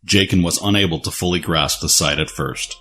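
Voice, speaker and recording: A man reading scripted story narration in a serious, measured, dramatic manner. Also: low-pitched, a bit bright. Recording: music under the voice.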